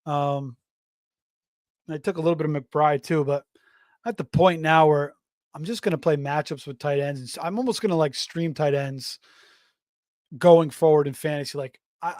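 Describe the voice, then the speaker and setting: A middle-aged man hosting a fantasy football show in casual live-stream talk, slightly hoarse, rambling off on tangents with plenty of fillers and restarts. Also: fairly high pitch, moderate pace, no room sound, clean studio sound. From Florida. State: slightly hoarse, voice going raspy.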